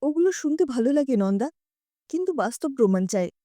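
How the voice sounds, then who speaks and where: A woman voicing a gentle, dejected husband who speaks in a subdued, resigned way in an audio story. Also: high voice, big pitch swings, fairly quick, dry recording, clean studio sound. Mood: quietly hurt. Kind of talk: dramatised audio story dialogue.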